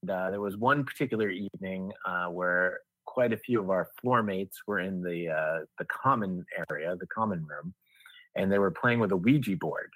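A man with a fairly low, husky voice co-hosts a podcast. He spins an anecdote conversationally, laying it out step by step. The sound is dry and close. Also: normal speed, a bit bright, no room sound, low-quality recording. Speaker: podcast co-host. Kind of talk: casual anecdotal storytelling.

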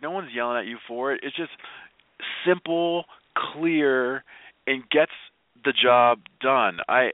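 A man's voice: mid-range voice, dry recording. A man being interviewed as a guest, explaining in a conversational, emphatic way and repeating phrases for emphasis. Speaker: guest, workplace positivity trainer and speaker. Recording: narrow phone-like band, dry.

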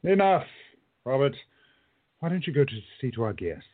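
A man acts a commanding older time traveler in a radio play. His voice is husky and lively in pitch, his manner measured and quietly menacing. The sound is thin, like a phone line. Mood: wry, commanding. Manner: measured, stern, drawn-out admonishing.

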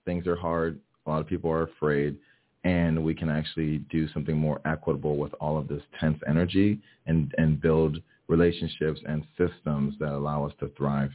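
A man's voice: extremely low pitch, level tone, normal speed. A man from Miami, Florida, speaking earnestly as a guest in a radio interview, often restarting his phrases. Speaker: guest, DEI strategist. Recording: tinny phone line.

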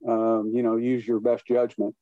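A man speaking as a guest expert, explaining things informally with a halting, hesitant delivery. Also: medium pitch, level tone. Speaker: guest expert, boating safety educator. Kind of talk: informal explanatory interview talk. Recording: muffled, roomy, echoey sound.

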